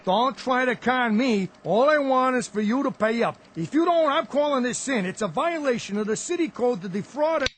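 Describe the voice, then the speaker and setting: An actor playing a weary, gruff cab driver in a radio drama, curt, wary and plain-spoken. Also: male voice, very high voice, animated, normal speed, hardly any pauses, a bit bright, a little hiss or hum. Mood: irritated.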